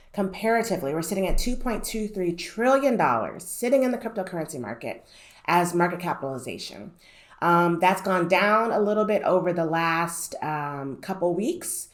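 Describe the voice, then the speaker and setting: A young woman with a lowish voice speaks straight to her audience in a relaxed, conversational way. Her voice is slightly nasal from a cold she is still getting over, and she keeps checking in with "right?" and "um" as she explains. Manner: conversational, frequent "right?" and "um" asides. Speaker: finance YouTuber. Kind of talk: casual explainer talk to camera.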